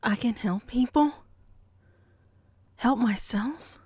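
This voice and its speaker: A woman playing a wary, defiant enslaved woman in an audio drama, speaking in a halting, old-fashioned formal way. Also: fairly high pitch, a little mellow, husky, no room sound, exaggerated voice. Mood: hesitant yielding. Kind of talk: podcast audio drama acting. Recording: dry amateur home recording.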